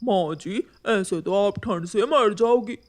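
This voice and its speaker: A woman's voice: mid-range voice, slow, lightly acted. A woman voicing a helpful, courteous crow neighbour in a cartoon, speaking with concern. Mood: kindly.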